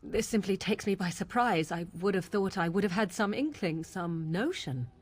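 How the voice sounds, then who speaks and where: An actress playing a proud, brooding young witch in a fantasy video game, with formal, archaic and deliberate diction. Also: medium pitch, lively intonation, breathy edge. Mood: troubled. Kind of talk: video game dramatic dialogue.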